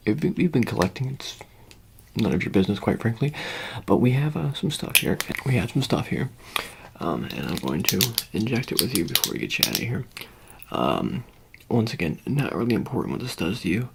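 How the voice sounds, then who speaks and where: ASMR performer as a casual alien handler: soft, rambling, lively in pitch, slipping into whispers over clear background noise. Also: normal speed. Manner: hesitant, rambling, casual reassuring asides. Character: offhand handler preparing an alien for public exposure. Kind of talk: improvised ASMR roleplay, soft-spoken with whispers.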